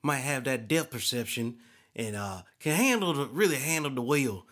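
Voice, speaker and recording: A man's voice: mid-range voice, animated, moderate pace, fairly bright tone, lightly acted. Man playing a folksy, boastful race car driver. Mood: casual. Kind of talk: comedic radio interview skit.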